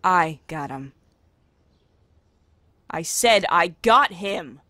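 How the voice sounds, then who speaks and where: A woman playing a caring, excitable mother who is easily exasperated, in an audio drama. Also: on the low side, very slow, no room sound, exaggerated voice.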